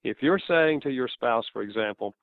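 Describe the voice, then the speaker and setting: A man's voice: mid-range voice, expressive, normal speed, no room sound. Man, Southern US accent, earnest author guest, lo-fi phone-quality line. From American South. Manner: earnest, explanatory, chuckles between points. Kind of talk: interview answers. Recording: tinny phone-like line, dry.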